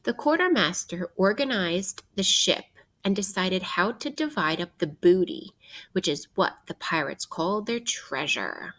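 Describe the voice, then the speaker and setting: A woman teacher reading a picture book aloud to children in a deliberate, instructive way, posing questions as she goes. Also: lowish voice, animated, a bit bright.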